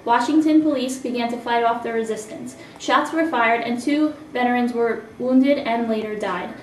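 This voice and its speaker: A woman reading scripted amateur news as an anchor. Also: a little high. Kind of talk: scripted amateur mock newscast. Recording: echoey room, distant mic, faint noise.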